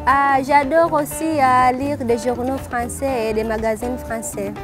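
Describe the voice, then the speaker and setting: Tanzanian receptionist in her twenties, higher voice, slow, music behind. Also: fairly even intonation. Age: 20s. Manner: hesitant, many fillers. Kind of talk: interview answers.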